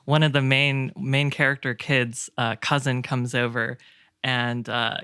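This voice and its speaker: A man chatting casually as a podcast guest, telling stories in a halting, hesitant way. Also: mid-range voice, level tone, sharp tone, smooth, dry recording, studio quality.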